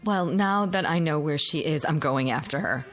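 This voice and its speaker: A woman's voice: sits low, moderate pace, faint music behind, natural voice. An actress playing an anxious, determined mother in a radio drama, speaking with emotional insistence. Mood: desperate, determined. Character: distraught, determined mother of a missing little girl. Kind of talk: modern radio drama acting.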